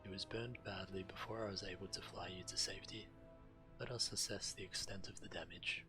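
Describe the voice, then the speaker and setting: An actor playing a grave, calculating dragon strategist in a fantasy game, speaking formally with measured brooding. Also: male voice, low voice, steady tone, music in the background. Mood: grave, urgent. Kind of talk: dramatic fantasy game dialogue.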